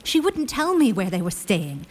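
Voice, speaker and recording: Young fantasy heroine under duress: husky, mid-pitched, courteous, carefully guarded, formal diction. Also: female voice, wildly swooping pitch, moderate pace, darkish tone. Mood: guarded pleading. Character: guarded, polite young woman, war hero under duress. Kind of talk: fantasy audio drama acting.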